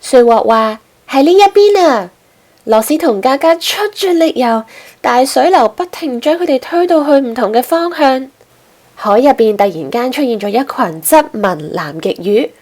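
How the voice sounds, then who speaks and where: A woman's voice: a little high, lively intonation, moderate pace, a little mellow. A woman narrating a scripted children's story as a storyteller.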